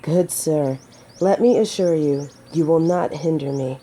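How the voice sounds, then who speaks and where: Woman doing a noble lady knight for an audio drama, low soft-edged voice, takes her time, courtly and polite. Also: a little slow, slightly rough. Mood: earnest, gallant. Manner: formal, courtly, old-fashioned phrasing. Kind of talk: scripted roleplay audio drama to the listener.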